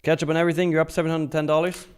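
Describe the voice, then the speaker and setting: A man's voice: on the high side, level tone, rapid-fire, smooth. A man hosting a live trading stream, chatting casually and informally as he comments and answers viewers, joking and swearing freely. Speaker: live stream host, futures trader.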